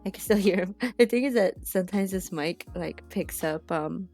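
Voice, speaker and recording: A woman's voice: medium pitch, moderate pace, a little mellow, soft background music. A young woman streaming from home on a headset mic, chatting in a rambling way with lots of fillers and sounding a little sniffly from allergies. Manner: chatty, rambling, loses her train of thought with many fillers. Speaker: art streamer. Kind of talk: casual rambling livestream chat.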